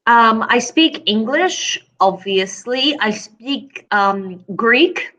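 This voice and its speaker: Bright-voiced young woman tutor. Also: on the high side, very slow, slight background noise. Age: young adult. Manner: patient, relaxed, many fillers. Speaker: online English tutor. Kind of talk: casual conversation lesson.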